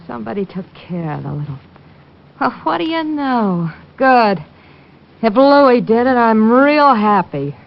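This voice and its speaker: Brassy, high-voiced hard-boiled dame. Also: female voice, sing-song, measured pace, creaky, heavily acted. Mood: spiteful, dismissive. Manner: snappy, sarcastic, dismissive. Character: brassy, hostile, jealous carnival dame. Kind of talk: 1940s-50s radio detective drama acting. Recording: muffled old radio recording.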